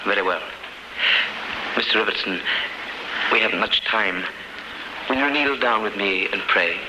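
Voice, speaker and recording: A solemn, gentle prison chaplain, played by a male actor, with a lowish voice and formal, measured speech. It is old radio drama, heard very echoey through loud noise. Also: lightly acted. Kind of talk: 1930s radio drama acting. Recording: very echoey, distant, loudly noisy old radio.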